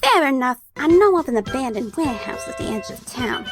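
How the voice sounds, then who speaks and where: A woman plays a prim, hot-tempered teenage schoolgirl bossing people around in an anime parody audio drama. Her voice is extremely high and animated, over loud music. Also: a little fast. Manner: affectedly proper, bossy.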